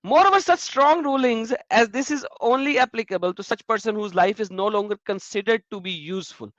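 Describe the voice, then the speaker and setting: A man hosting a casual discussion, animated and repeating his phrases. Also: high-pitched, a little fast, no echo. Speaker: host. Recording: muffled lo-fi sound.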